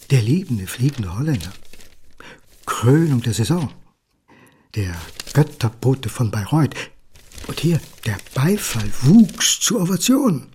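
A man's voice: mid-range voice, sing-song, takes it slowly, long pauses, darkish tone, slight background noise. An actor playing a lonely, hard-drinking son who speaks to his father in a sardonic, dramatic monologue. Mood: sardonic, accusing.

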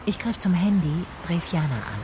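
A woman's voice: lowish voice, big pitch swings, moderate pace, background noise. An actress playing a private detective who narrates her case in a radio drama, composed and probing. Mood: composed, reflective. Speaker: narrator and lead character.

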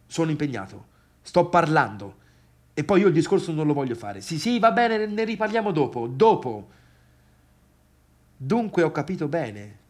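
Hesitant, slow-spoken, needy Tuscan man. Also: on the high side. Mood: confused, agitated, then bitter, pleading. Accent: Tuscan Italian. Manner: hesitant, stammering repetitions and questions. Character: awkward, needy, wounded man pleading with a woman. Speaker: stage actor in a monologue. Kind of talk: theatrical monologue, halting one-sided dialogue.

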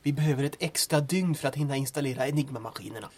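Man as a husky, sardonic resistance member. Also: medium pitch, darkish tone, natural voice. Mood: matter-of-fact. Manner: sardonic, laconic. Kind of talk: audio drama acting.

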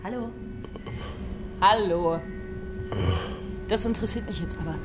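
A woman's voice: medium pitch, unhurried, loud music behind the voice, natural voice. A woman acting in a German radio drama as an inquisitive questioner with a probing manner. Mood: curious. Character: curious, probing questioner intruding on the scene.

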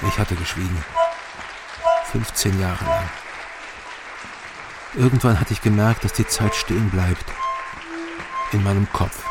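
Loud instrumental music surrounds a low male voice: a tormented middle-aged ex-border guard in a radio play. He speaks his inner monologue in subdued, weighty short phrases, with a gravelly creak. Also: steady tone, a little slow, pauses often, a loud crowd. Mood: haunted, brooding. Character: haunted East German man, a tormented former border guard.

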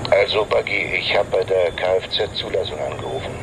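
An actor playing a matter-of-fact police colleague reading out records in a clipped way in a radio drama. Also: male voice, deep voice, normal speed, noisy background. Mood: businesslike. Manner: clipped, factual.

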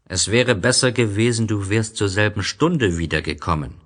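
A man voicing a gentle, wistful male fox in a storybook, speaking earnestly and patiently like a wise teacher. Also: lowish voice, lively intonation, moderate pace, lightly acted. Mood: earnest, tender.

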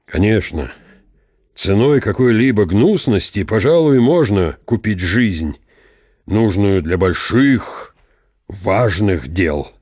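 An actor playing an aging military count, proud and brooding, in a deliberate, reflective radio drama monologue. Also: male voice, on the low side, expressive, a little mellow, slightly rough, no room sound, heavily acted. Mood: brooding, bitter. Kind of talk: dramatized literary reading with inner monologue. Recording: studio radio drama with music behind.

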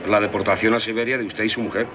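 An actor playing a composed, authoritative lawyer in a 1970s radio drama, measured and formal. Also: male voice, lowish voice, steady tone, highs cut off, over music, close to an everyday voice. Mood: firm, calming. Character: composed, authoritative male lawyer, calming and matter-of-fact. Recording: 1978 radio recording, faint noise.

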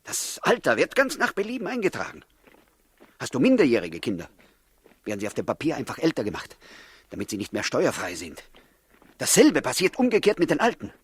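An actor playing a bitter, sceptical rebel of ancient Judea, sarcastic and challenging, in 1960s German radio drama style. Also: male voice, mid-range voice, huge pitch swings, brisk, pauses often, husky, no echo. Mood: sardonic.

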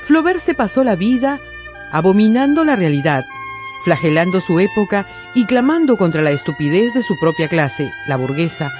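Animated woman documentary narrator over music. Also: mid-range voice, moderate pace.

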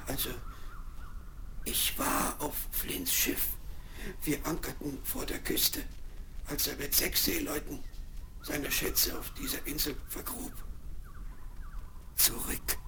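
Castaway, male,, nervous stammer, slow delivery, noisy vinyl. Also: fairly high pitch, bright, forceful tone, full character voice. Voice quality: stammering, halting. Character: ragged marooned male castaway, jittery and stammering. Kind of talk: 1970s radio play acting.

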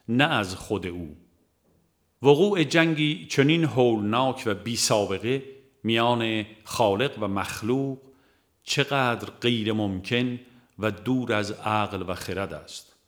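A man reading a religious book aloud as a formal, measured scripted narration. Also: lowish voice, fairly bright tone. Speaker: audiobook narrator.

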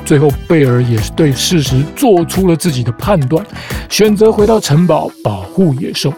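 Calm man narrating over music. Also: on the high side, normal speed. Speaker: podcast host. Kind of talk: scripted explanatory narration. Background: background music.

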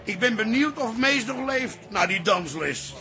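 A man's voice: high voice, animated, a little slow, sharp tone, exaggerated voice. An actor playing a sly, jovial elderly father who talks in folksy sayings in a radio comedy. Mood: chatty. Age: older. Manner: folksy, fond of rhymes and sayings.

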